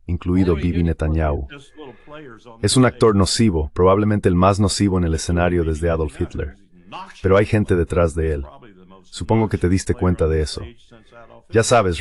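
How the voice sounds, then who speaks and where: A man's voice: sits low, a little mellow. A man giving blunt political commentary as an interview guest, leaning on emphatic repetition.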